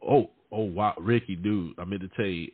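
A man's voice: deep voice, moderate pace, breathy edge, dry recording, phone-quality sound. A man in his late thirties hosting a casual chat, talking informally with slang and repeating himself for emphasis. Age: late 30s. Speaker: podcast host.

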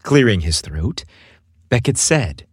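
Husky, expressive male storyteller. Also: fairly low pitch, slow pace, no echo, clean studio sound. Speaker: podcast host and narrator. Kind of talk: scripted romance narration.

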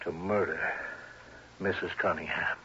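An actor playing an earnest, suspicious family doctor in a 1960s radio drama, formal and insistent. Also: male voice, low voice, fairly even intonation, unhurried, bright, forceful tone, slight background noise. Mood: grave, certain. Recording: dull, lo-fi vintage radio recording.

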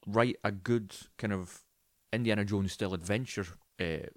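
A man's voice: fairly low pitch, moderate pace, no room sound, clean studio sound. A man co-hosting a casual podcast, giving his opinions and often acting out imagined reactions. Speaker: podcast co-host and film reviewer.

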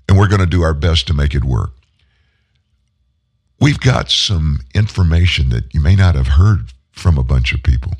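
Talk show host, male, very deep and hoarse from illness, folksy and opinionated. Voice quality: deep, rough from a long flight. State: hoarse, unwell. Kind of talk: casual political talk show monologue.

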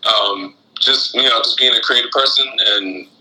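A man from Florida chatting casually as an interview guest, halting and reflective as he talks. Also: mid-range voice, bright, forceful tone, low-quality recording. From Florida, United States, born in Guyana. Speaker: interview guest, rapper and shoe designer.

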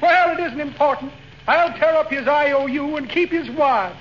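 An actor playing a shady, fast-talking con man with florid, muttering, chuckling patter in a 1940s radio comedy. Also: extremely high pitch. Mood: slyly amused. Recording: muffled, very noisy 1940 radio broadcast.

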